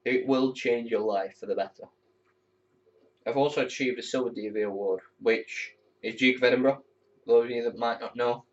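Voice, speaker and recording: A man's voice: medium pitch, fairly even intonation, moderate pace, far from the mic. A teenage lad in the air cadets talks casually to his camera at home, rambling freely and swearing now and then. He sits some way from the mic. The sound is dull and muffled. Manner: candid, rambling, swears when annoyed.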